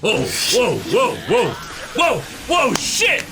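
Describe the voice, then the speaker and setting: A man hosting a casual reaction show, commenting live in an exclamatory, excitable way and swearing freely. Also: very high-pitched, slow, vocal fry. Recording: loud show soundtrack behind voice. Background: music from the watched show.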